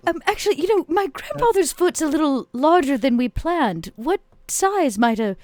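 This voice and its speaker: A woman improvises as a player in a tabletop game. Her voice is on the high side with very lively pitch movement, recorded close and dry. She moves playfully between narrating and speaking in character. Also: normal speed, no echo.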